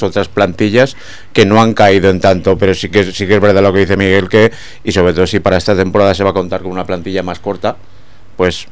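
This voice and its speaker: A man talking as a sports pundit in a casual panel, measured and agreeing with the others. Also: low-pitched.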